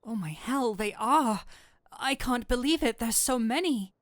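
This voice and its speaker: Woman performing a flirtatious demon, secretly geeky, unhurried pace, theatrical formal phrasing, easily flustered, intimate roleplay audio, dry close recording. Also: a little high, animated, no echo. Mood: astonished, excited. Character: flirtatious incubus demon, secretly an excitable tabletop-game nerd. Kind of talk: scripted roleplay audio addressed to the listener.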